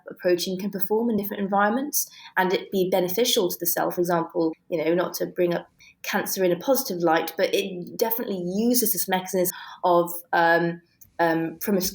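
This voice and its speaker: A woman's voice: on the low side, steady tone, a little fast. A woman with a British accent explaining technical detail conversationally and with enthusiasm, as an interview guest. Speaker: guest, scientist and author.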